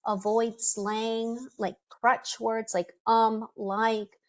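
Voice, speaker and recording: A woman whose first language is Spanish leads an online career session in a quick, chatty coaching voice, dropping in plenty of ums. Her voice sits a bit high for a woman, and it comes through a computer mic on a video call. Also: flat delivery, dull audio. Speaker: career presenter. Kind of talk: online webinar presentation.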